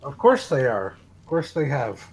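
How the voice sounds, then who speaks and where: A man's voice: medium pitch, sing-song, moderate pace, breathy edge, rough recording. A man chatting casually as a co-host, with a dry, grumbling way of talking. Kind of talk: casual chat.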